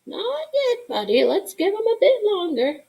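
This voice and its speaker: A woman voicing a kindly, patient grandmother in a storybook, folksy and gently coaxing. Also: very high-pitched, very animated, a little slow, voice pushed up, heavily acted. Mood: patient, gentle.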